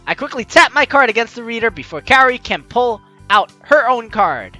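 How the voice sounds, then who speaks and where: Bright, animated, excitable gamer. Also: medium pitch, moderate pace, faint music behind. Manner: excitable, playful, stammering self-interruptions. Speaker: gaming video host. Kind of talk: let's play commentary, reading game text aloud.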